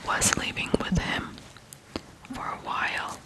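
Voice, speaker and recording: An ASMR host from Ukraine, telling stories in a hushed whisper with frequent pauses between short phrases. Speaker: ASMR video host and storyteller. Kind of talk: whispered ASMR true crime storytelling.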